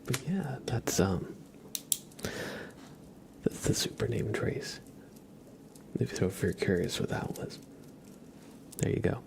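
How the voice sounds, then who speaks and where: A man with a fairly low voice hosts an ASMR livestream, speaking softly and close to the mic. His delivery is very slow and often whispered, with a noticeable creak. He chats casually, thinking aloud as he goes. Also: lively intonation, background noise. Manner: soft-spoken, slipping between whisper and low murmur. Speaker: ASMR live streamer.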